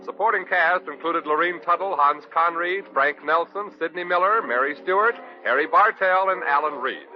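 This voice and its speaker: A man's voice: fairly high pitch, normal speed, hardly any pauses. A man reading scripted announcements as a 1950s radio announcer. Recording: dull old radio broadcast recording. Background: loud music.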